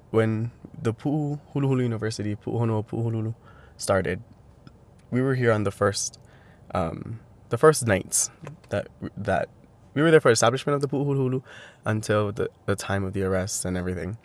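A young man from Hawaii answering interview questions, hesitant with many fillers and restarts. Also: lowish voice. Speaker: interviewee, language teacher.

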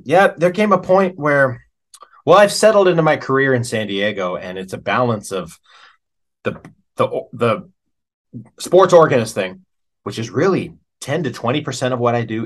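A man speaking as an interview guest and musician, chatting informally in a rambling, anecdotal way. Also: medium pitch. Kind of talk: casual anecdotal chat.

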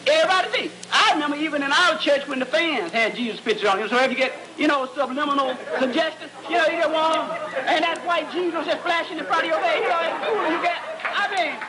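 A man, a psychologist, giving an animated lecture to students, repeating words as he searches and joking with the audience. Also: very high-pitched, fast talker, hardly any pauses, a crowd in the background, background noise.

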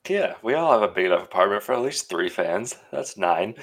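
A man co-hosting a podcast in casual chat, teasing the others with quick questions. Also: sits low, sing-song, fairly bright tone. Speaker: podcast co-host.

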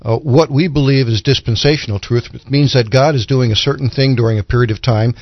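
Male guest commentator, medium pitch, didactic, measured, explanatory, close dry sound. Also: fairly even intonation, no room sound. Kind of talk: explanatory Bible teaching in conversation. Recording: dull, lo-fi broadcast audio.

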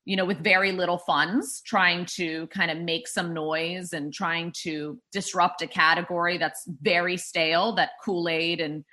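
A woman's voice: on the low side, fairly even intonation, unhurried, few pauses, sharp tone. A woman who founded a drink startup, talking in a casual interview, rambling with many fillers and restarts.

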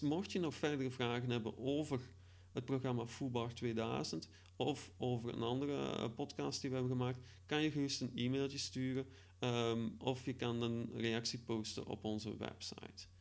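A man giving an informal spoken software tutorial, hesitant as he thinks aloud while demonstrating. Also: mid-range voice, little pitch movement, normal speed, creaky. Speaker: podcast host demonstrating software. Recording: echoey room.

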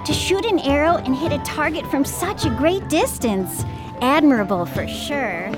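A woman voices a thoughtful, bookish cartoon schoolgirl. Her voice is high, her delivery earnest and rather formal, and prominent music plays behind her. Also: lively intonation, moderate pace. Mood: admiring.

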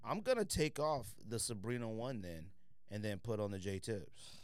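A man's voice: on the low side, big pitch swings, normal speed, clean tone, no echo. A man hosting a casual podcast debate, opinionated and bantering in informal slang. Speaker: podcast host. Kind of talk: casual group debate about sneakers.

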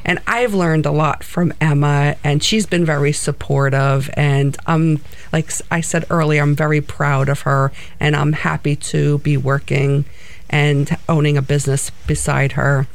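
A woman's voice: low-pitched, fairly even intonation, a little slow, vocal fry. A middle-aged woman from New Jersey with a New Jersey accent, answering interview questions in an earnest way. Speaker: guest, co-owner of flight center.

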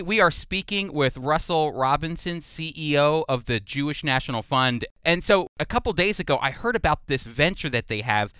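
A male radio host with a slightly high, bright voice. He interviews with emphasis and enthusiasm, and his delivery is clean and smooth, with a dry, close sound. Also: no room sound.

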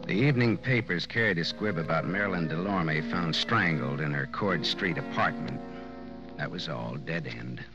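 A muffled old radio recording, with music, carries a hardboiled American male detective. He speaks in a deep voice, dry and wry, tossing off clipped wisecracks in classic noir style. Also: animated, normal speed. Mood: brooding. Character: wisecracking, tough male private detective narrating his case. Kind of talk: 1940s radio noir acting and hardboiled narration.

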